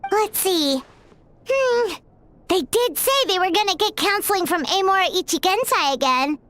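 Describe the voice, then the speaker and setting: A woman's voice: very high voice, animated, normal speed, fairly bright tone, slight background noise. A woman voicing a tiny floating female sprite companion who is chirpy and refers to herself in the third person. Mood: puzzled. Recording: game audio with music underneath.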